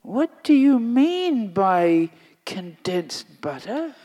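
Doting mother, high swooping tender voice, very slow, long hall echo, as a man puts her on. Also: big pitch swings, warm tone, exaggerated voice. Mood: coaxing. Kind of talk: storytelling.

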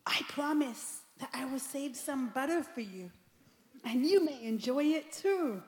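A man voicing a mischievous little boy who pleads sweetly in a high childlike voice. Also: expressive, unhurried, roomy sound. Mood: pleading. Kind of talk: storytelling.

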